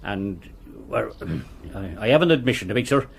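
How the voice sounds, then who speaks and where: An actor playing a deferential, gossipy village postmaster in a period radio drama, with a husky voice. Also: male voice, fairly low pitch, sing-song, normal speed, a little hiss or hum. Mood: apologetic, chatty. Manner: chatty, folksy, deferential.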